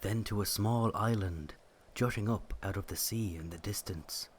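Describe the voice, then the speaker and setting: A man's voice: fairly low pitch, normal speed, a little hiss or hum. A man giving scripted storytelling narration of a mythic legend.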